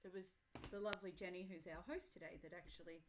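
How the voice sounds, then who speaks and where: A woman's voice: fairly low pitch, steady tone, fast talker, a bit bright, a little hiss or hum. Chatty woman demonstrating papercrafts. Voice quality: chatty, easygoing, thinking aloud as she works. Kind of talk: live online craft demonstration. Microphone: headphones in, voice comes out soft.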